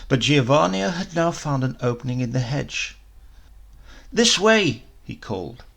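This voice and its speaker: A man reading a literary story aloud in an expressive, measured, dramatic storytelling manner. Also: mid-range voice, creaky, husky. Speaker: story reader.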